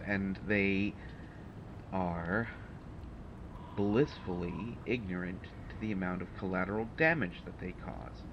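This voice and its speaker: A man's voice: sits low, highs cut off, noisy background. A man playing a haughty, prickly supervillain mastermind in an audio roleplay, speaking in formal, condescending, exasperated phrases. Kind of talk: audio roleplay acting addressed to the listener.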